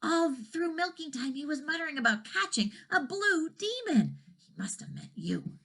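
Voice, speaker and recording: A woman reading aloud and voicing a group of friendly farm cows who talk in high, hushed, conspiratorial tones. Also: big pitch swings, moderate pace, creaky, full character voice.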